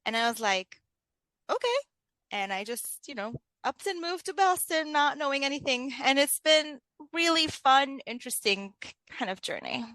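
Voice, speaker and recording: A woman's voice: high voice, lively intonation, moderate pace, bright, forceful tone, no echo, low-quality recording. A woman with a Lebanese Arabic accent, speaking casually as a coach being interviewed. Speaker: interview guest, leadership coach.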